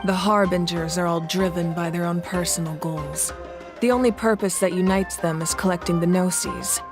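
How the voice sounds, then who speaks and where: An actress playing a confident, composed warrior ruler in a video game, speaking with measured authority. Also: female voice, lowish voice, steady tone, natural voice. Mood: thoughtful. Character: commanding, confident adult female ruler and warrior deity. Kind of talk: dramatic video game voice acting. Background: music.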